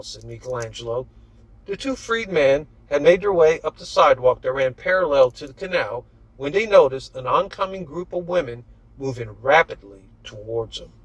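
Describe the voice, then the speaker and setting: Man, slightly high-pitched, dry close sound, author reading a novel, scripted narration. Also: no echo, low-quality recording.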